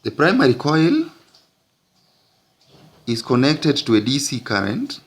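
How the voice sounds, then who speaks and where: A man teaching physics, narrating an explanatory lesson in deliberate, halting phrases with frequent breaks. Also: medium pitch, huge pitch swings, breathy edge.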